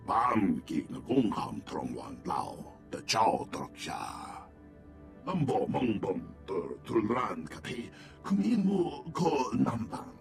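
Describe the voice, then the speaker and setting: A man voicing an alien in a video game, speaking an invented tongue in a slow, gravelly, declamatory way. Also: on the low side, exaggerated voice.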